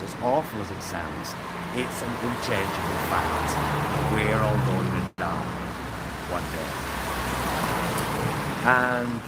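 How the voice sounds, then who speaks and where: Deep-voiced man vlogging over loud vehicle noise. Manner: halting, reflective, trailing into tag questions. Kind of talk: casual rambling vlog monologue to viewers. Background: loud vehicle rumble and ambience.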